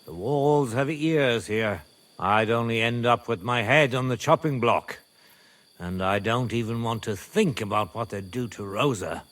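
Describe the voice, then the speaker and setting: A man's voice: mid-range voice, unhurried, a bit bright, lightly acted. Actor as world-weary middle-aged medieval nobleman. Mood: worried, reproachful. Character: wary, pragmatic medieval nobleman and father of grown daughter. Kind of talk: video game cutscene acting.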